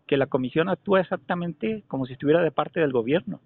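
Insistent Mexican man on a tinny phone line. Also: on the high side. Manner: insistent, halting, repeats phrases for emphasis. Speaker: caller. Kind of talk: phone complaint, argumentative questioning. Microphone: telephone. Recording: narrow, tinny telephone call recording.

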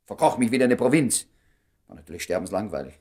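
A man's voice: on the low side, sing-song, fast, a little mellow. An actor playing a jealous, sardonic, lovesick man in his thirties in a radio comedy drama, blustering and stammering when worked up. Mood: aggrieved. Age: 30s.

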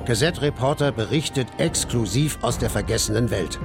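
A man's voice: mid-range voice, animated, brisk. An actor playing an eager, adventurous young male reporter who narrates his own story in a radio drama. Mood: eager storytelling. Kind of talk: scripted first-person radio drama narration. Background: clearly audible music.

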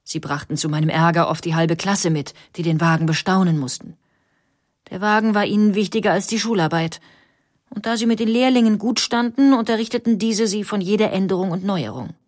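A woman playing a fondly exasperated 19th-century inventor's wife and mother, recalling family life in measured, formal storytelling for an audio feature. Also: mid-range voice, no room sound, studio quality, lightly acted. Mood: mildly exasperated. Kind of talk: scripted first-person recollection in an audio feature.

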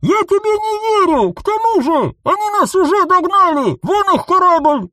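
A male narrator voicing a hateful, betrayed woman shouting from inside a space helmet, her voice muffled and strained. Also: very high voice, slow pace, no room sound, studio quality, pitched above the performer's own voice. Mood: furious. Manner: shouting accusations. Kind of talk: audiobook character voice.

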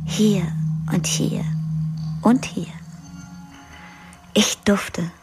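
A woman's voice: mid-range voice, steady tone, extremely slow. An actress playing a lonely woman who longs for her weekly lover, in an intimate, whispered monologue for a radio drama. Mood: longing. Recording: radio play with music.

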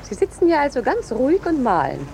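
An actress playing a brash, pushy young war correspondent in a 1950s German radio play, brisk and matter-of-fact with a scornful, bossy edge. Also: female voice, high-pitched, huge pitch swings, full character voice. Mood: brisk, impatient. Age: young adult. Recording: noisy 1950s radio drama recording.

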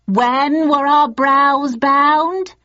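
A woman voicing loud, cheeky theatre hecklers who shout out their lines in drawn-out calls. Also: sits high, fairly even intonation, highs cut off, pitched above the performer's own voice, full character voice. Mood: gleeful heckling.

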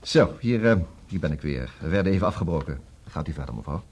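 An actor with a Netherlandic Dutch accent playing a busy, brisk, distracted male lawyer in a radio comedy, repeating himself and hesitating. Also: extremely low pitch, expressive, a little mellow, breathy edge, full character voice. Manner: hesitant fillers, repeats words, juggles several conversations.

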